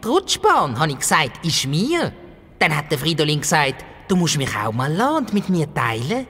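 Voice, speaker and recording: Grumpy, husky Swiss German dwarf. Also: female voice, deep voice, normal speed, heavily acted. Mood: sulky confiding. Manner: excitable, blustering, childlike speech. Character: grumpy, possessive, lonely dwarf, quick to flare up. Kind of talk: children's audio play acting. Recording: long cavernous reverb.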